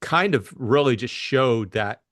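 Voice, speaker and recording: Male audiobook narrator and co-host, mid-pitched, highly animated intonation, for classic crime fiction and book talk. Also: a little slow. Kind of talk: scripted story narration and book discussion.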